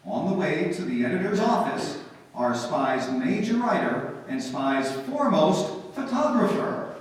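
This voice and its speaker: High, animated old-time radio announcer acted by a man. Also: measured pace. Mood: grand. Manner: formal, declamatory. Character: grand, theatrical 1940s radio drama announcer. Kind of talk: scripted 1940s radio narration. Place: live performance in a library. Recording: very echoey hall, distant, faint noise.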